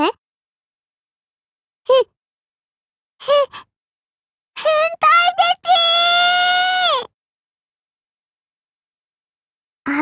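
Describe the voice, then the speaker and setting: A woman's voice: very high-pitched, fairly bright tone, no room sound, clean studio sound. A woman voicing a small, strict and prim sparrow-spirit girl in an anime game, with a childlike lisp and polite but scolding speech. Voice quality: childlike, lisping. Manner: polite but scolding, quirky verbal tic. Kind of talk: anime game character voice lines.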